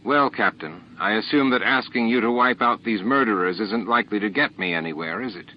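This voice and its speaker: Weary, low-voiced statesman, read by a man. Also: a little fast, a little hiss or hum, close to an everyday voice. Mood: weary, pressured. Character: weary, diplomatic male planetary first minister. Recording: dull, lo-fi cassette-like sound.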